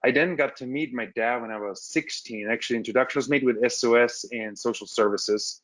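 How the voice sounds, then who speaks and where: Quick-talking man on a video call. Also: mid-range voice, fairly bright tone, no echo. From Austria. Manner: fast, repeats words when starting sentences. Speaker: alumnus guest. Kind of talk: casual webinar storytelling. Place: home room with wood ceiling.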